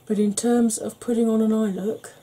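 A woman in her forties with a Welsh-tinged northern English accent, talking slowly and softly with wry humour while doing her makeup. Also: fairly high pitch, flat delivery, rough recording. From Wales and Yorkshire. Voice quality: soft-spoken, slow, wry. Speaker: makeup vlogger. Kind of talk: soft-spoken makeup tutorial chat.

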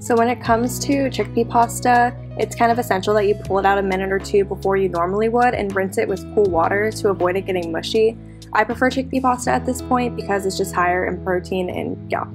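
Chatty young woman in her kitchen. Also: on the high side. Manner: chatty, upbeat, instructional. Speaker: flight attendant vlogger. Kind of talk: casual cooking vlog with voiceover. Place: home kitchen, indoors. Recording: guitar music playing underneath. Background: guitar music.

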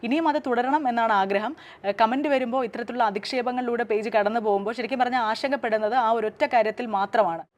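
Rapid, higher-pitched Kerala woman making a statement. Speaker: radio jockey and social media creator. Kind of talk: direct-to-camera public statement.

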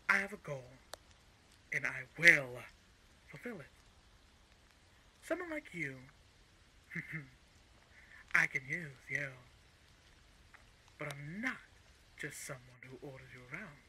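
An actor playing a smug, menacing male crime boss in an audio roleplay, speaking in a mocking, condescending and deliberate way. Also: fairly high pitch, bright, forceful tone, slight background noise, exaggerated voice, poor audio. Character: smug, menacing male crime-organization villain, coolly coercive. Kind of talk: scripted audio roleplay villain acting.

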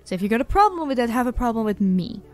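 A woman acts out an elven tavern keeper for an ASMR roleplay. Her voice sits fairly high, and she talks to the listener with familiar, teasing pet-name warmth backed by a protective, tough streak. Soft music plays behind her. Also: sing-song, fast. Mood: firm, scolding.

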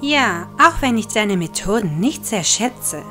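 A woman's voice: medium pitch, big pitch swings, measured pace, sharp tone, faint music behind. A woman playing a principled, sceptical goddess of justice in an audio drama, speaking earnestly.

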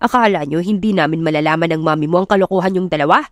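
A woman's voice: lowish voice, racing, no room sound, clean studio sound, soft background music, lightly acted. A woman voicing a stern, angry father who feels betrayed, in a romance audiobook mixing Tagalog and English. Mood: angry, accusing. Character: angry, betrayed wealthy father confronting his son. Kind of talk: audiobook romance drama acting.

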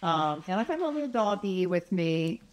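A woman's voice: lowish voice, big pitch swings, normal speed, darkish tone. A woman in her sixties chatting casually to a phone camera in her home kitchen, friendly and rambling with fillers and little laughs. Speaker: vlogger. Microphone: phone camera at arm's length.

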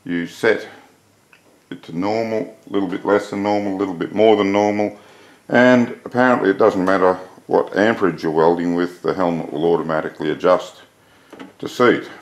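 Older man, very low voice, gravelly, chatty and plainspoken, workshop shed. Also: steady tone, normal speed. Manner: chatty, plainspoken, self-deprecating. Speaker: hobby welder reviewing gear. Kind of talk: casual product review.

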